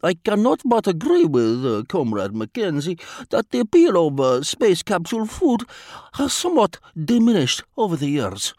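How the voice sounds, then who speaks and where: A man's voice: high voice, big pitch swings, very slow, clean tone, no echo, studio quality, pitched above the performer's own voice, exaggerated voice. A man voicing a wily, self-mocking foreign spy who speaks formal English and drops his articles. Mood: smooth evasive.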